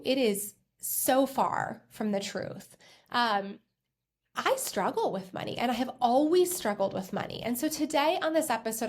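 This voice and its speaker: An American woman in her thirties hosting a podcast, telling a personal story in a conversational, self-reflective way with emphatic repetitions. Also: on the high side. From United States. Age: 30s. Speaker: podcast host and accountant.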